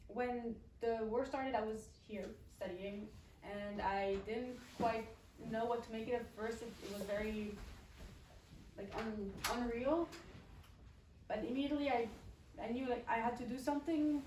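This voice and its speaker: A young woman from Switzerland speaks English as a second language in a halting, pausing way. She gives a personal account in a higher-pitched voice. The recording is very roomy and distant from the mic. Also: fairly even intonation, darkish tone, a little hiss or hum. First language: not English. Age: young adult. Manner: halting, pausing mid-phrase. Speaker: university student speaker. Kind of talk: personal account to an event audience. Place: indoor event room at a college.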